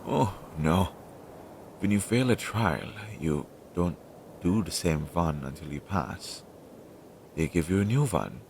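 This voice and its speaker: A man's voice: expressive, takes it slowly, a little mellow, heavily acted. A man playing an anxious, self-doubting magical familiar in an audio roleplay, speaking hesitantly and fretfully to his master. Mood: nervous, reflective. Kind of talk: scripted audio roleplay, one-sided dialogue. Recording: low-fidelity audio with clear background noise.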